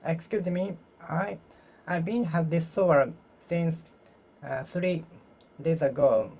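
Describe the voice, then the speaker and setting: Solo learner, Japanese native, slow and halting, drills each phrase over and over. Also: mid-range voice, gravelly, darkish tone. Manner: repeats phrases, halting and self-correcting. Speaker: English learner. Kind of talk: solo speaking practice, talking to oneself.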